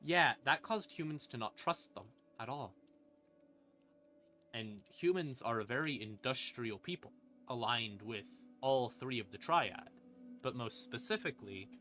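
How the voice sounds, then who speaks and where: Bright-voiced man streaming casual lore talk. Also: mid-range voice. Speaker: streamer explaining game lore. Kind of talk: casual, rambling lore explanation. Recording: music playing behind the voice. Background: creepy ambient music.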